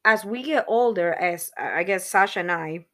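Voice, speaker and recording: A woman's voice: medium pitch, normal speed, a bit bright. A woman in her thirties co-hosting a casual podcast chat, earnest and reflective, talking in long run-on thoughts. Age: 30s. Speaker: podcast co-host.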